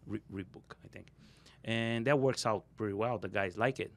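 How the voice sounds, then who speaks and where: A man hosting a podcast, asking questions in a casual, halting way in a studio. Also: on the low side. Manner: casual, halting, non-native phrasing. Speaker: podcast host. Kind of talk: casual interview chat. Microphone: studio mic on boom arm, headphones.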